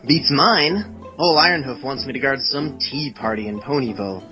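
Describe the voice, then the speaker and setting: A man's voice: medium pitch, normal speed, highs cut off, soft background music, pitched above the performer's own voice, natural voice. A man voicing a cocky, joking pegasus royal guard who teases and grumbles, in a fan fiction reading. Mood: grumbling.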